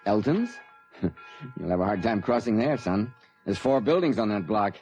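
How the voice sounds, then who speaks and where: A grumbling, folksy news vendor in an old radio play. His voice is fairly low with lively intonation, over faint music. He sounds wry and avuncular. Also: a little slow, dull audio. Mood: neighborly. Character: folksy, grumbling small-town newsstand man. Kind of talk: 1950s radio drama acting.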